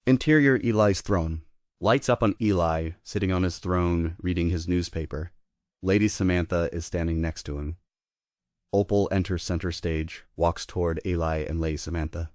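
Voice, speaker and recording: A man narrates a script as the reader of stage directions. His voice is low, and the sound is dry, with hardly any room in it. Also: normal speed, a little mellow, dry recording.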